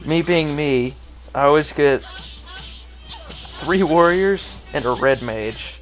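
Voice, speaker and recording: A man's voice: a little high, expressive, slow pace, a bit bright, rough recording. A man chatting casually as the co-commentator on a video game let's play. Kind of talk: casual chat. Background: video game music.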